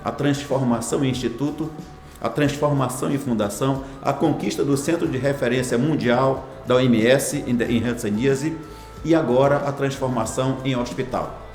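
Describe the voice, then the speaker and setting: A man's voice: mid-range voice, normal speed, darkish tone, reverberant, faint music behind. A man in his sixties, a hospital foundation director, answering interview questions in a formal, ceremonious way with long lists of phrases. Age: 60s.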